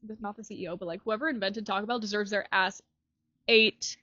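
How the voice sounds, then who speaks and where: A woman's voice: medium pitch, steady tone, a little fast, sharp tone, no room sound, highs cut off. A young American woman co-hosting a podcast in casual chat, slangy and informal, peppering her sentences with "like". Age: young adult. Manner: informal, slangy, heavy use of "like". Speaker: podcast co-host.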